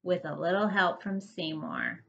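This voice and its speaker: Woman plays storybook kitten boy: curious, excitable, earnest, lively swings in pitch, slightly muffled recording. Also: unhurried, vocal fry, full character voice. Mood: proud. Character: eager, curious young boy cat in picture book.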